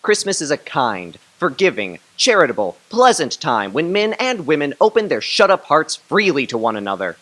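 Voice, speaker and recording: An upbeat, warm-hearted young nephew for a radio play. He speaks earnestly in a bright voice that rises and falls freely. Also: fairly high pitch, very animated, normal speed, hardly any pauses. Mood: cheerful. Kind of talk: radio drama acting.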